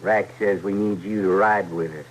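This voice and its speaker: An actor with a rustic American accent playing a childish, cowed, simple-minded outlaw sidekick in a radio western. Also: male voice, sits low, fairly even intonation, moderate pace, natural voice. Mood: sullen. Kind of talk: old-time radio western acting. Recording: noisy vintage radio recording.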